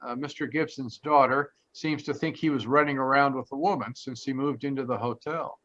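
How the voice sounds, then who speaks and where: A man playing a wry, blunt private detective in a 1940s radio mystery, with a deadpan, wisecracking delivery. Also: medium pitch, brisk, lightly acted. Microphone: video call. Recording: dull lo-fi video call audio.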